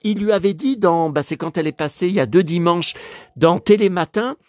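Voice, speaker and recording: Hoarse middle-aged man rambling on. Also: high-pitched, a little fast, no echo. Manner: rambling, repeats words, stammers, emotional asides. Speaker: YouTuber. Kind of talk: casual rambling vlog chat. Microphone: phone.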